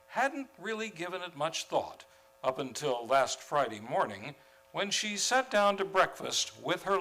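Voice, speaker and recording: A man's voice: high voice, bright, forceful tone, low-quality recording. A man hosting in a theatrical, grandly formal old-time radio announcer style. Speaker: series host and announcer. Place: theater stage, before a live audience.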